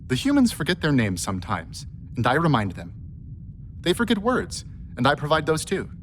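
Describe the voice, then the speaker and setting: A man's voice: fairly high pitch, wildly swooping pitch, normal speed, fairly bright tone, a little hiss or hum. A man playing an earnest, affectionate humanoid helper robot in a radio play, speaking in a precise, literal way. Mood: earnest, helpful.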